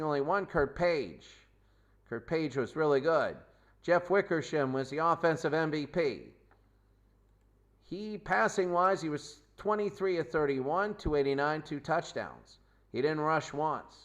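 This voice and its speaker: A man hosting a football show, giving a loose, rambling recap of game results and stats, often correcting himself mid-sentence. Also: medium pitch. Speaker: sports show host. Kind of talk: unscripted football recap commentary.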